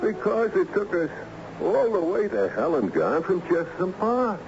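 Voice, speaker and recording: A man's voice: high voice, sing-song. An actor playing a plain-spoken, hesitant working man from a moving company in a radio drama. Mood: matter-of-fact. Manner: halting, colloquial. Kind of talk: American radio drama acting. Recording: muffled, noisy old radio drama recording.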